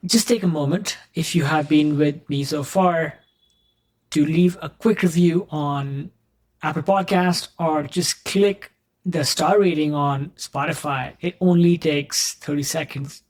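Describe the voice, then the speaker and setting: A man's voice: on the high side, steady tone, unhurried, rough recording. A man hosting a podcast, reading an earnest scripted introduction and then asking his guest questions in an encouraging way. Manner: earnest, encouraging, addresses listeners as friends. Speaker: podcast host.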